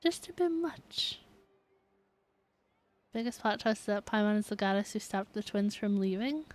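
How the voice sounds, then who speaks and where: A woman's voice: mid-range voice, expressive, moderate pace. A woman game streamer chatting casually to her live viewers as she plays.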